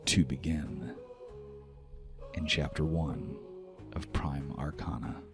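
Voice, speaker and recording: A man's voice: very deep voice, slow. A man narrating a fantasy tabletop game dramatically as game master. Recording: music behind the voice.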